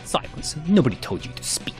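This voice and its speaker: A man voicing a menacing, accusing guard in a narrated game cutscene, gruff and commanding. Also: a little fast, soft background music, lightly acted. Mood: stern. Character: menacing, accusing male captor guarding a mine.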